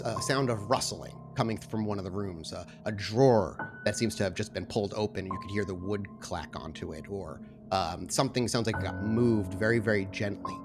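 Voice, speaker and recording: A man working as game master, narrating a tabletop roleplaying game. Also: on the low side. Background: background music.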